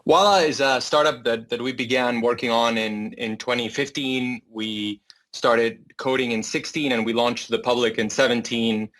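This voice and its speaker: A man's voice: medium pitch, steady tone, moderate pace, a bit bright. Argentine man explaining, mid-range voice. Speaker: startup founder, interview guest. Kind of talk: interview answer, explanatory.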